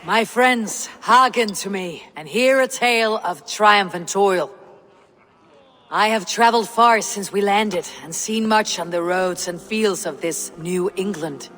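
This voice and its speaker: An actress playing a proud, commanding Viking clan leader in a video game, with a measured, declamatory manner. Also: female voice, medium pitch, fairly bright tone, lightly acted. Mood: proud oratory. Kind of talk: video game cutscene acting. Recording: reverberant hall with music behind.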